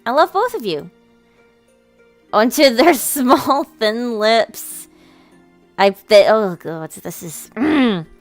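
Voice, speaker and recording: A woman's voice: on the high side, lively intonation, slow, long pauses. A woman hosting a let's play, reading a visual novel aloud with casual commentary. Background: game music.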